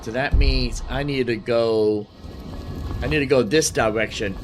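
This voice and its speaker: A man's voice: mid-range voice, unhurried, over music. A man giving casual, chatty let's play commentary, thinking aloud as he goes. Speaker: gaming channel host. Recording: clear background noise.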